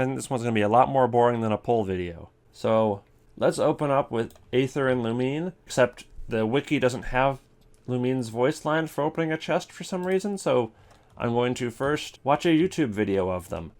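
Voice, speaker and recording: A man hosting a video with casual, rambling, offhand gaming commentary. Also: mid-range voice. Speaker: video host.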